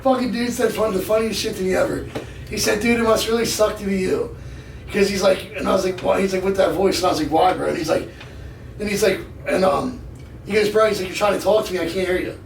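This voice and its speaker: A man in his thirties talks as a podcast guest in loose, profane slang. His voice is raspy and gravelly and pitched high for a man. It sounds echoey and a little away from the mic. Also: fast talker. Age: 30s. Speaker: podcast guest, gym enthusiast. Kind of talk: casual chat. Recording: echoey, distant mic with noise.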